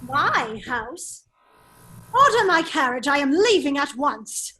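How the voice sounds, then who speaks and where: A woman playing a foppish, breezy gentleman husband in an amateur radio play read, with an airy, drawling, exclamatory manner. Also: high voice, sing-song, slow, exaggerated voice. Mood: excited, urgent.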